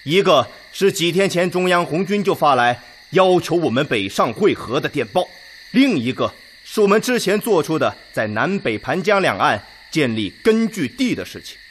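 A decisive Red Army commander in a radio drama. He is forthright, with a fairly high voice that swings in pitch, over clear background night sounds. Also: very animated, normal speed, fairly bright tone, a little hiss or hum. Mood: frank, serious. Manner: forthright, commanding, addressing a meeting. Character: blunt, decisive Red Army general chairing a war council.

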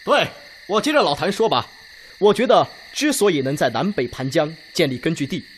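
A methodical Red Army officer played by a man presses his case point by point in a radio drama. He is emphatic, with a fairly high, very varied pitch. Faint noise sits in the background. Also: expressive, a little fast, natural voice. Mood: earnest. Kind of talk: Chinese radio drama acting.

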